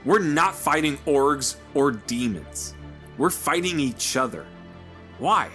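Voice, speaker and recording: A man's voice: on the high side, expressive, slow pace, sharp tone, soft background music, heavily acted. A man playing a tough, weary ranger sniper who argues urgently in a fan audio drama.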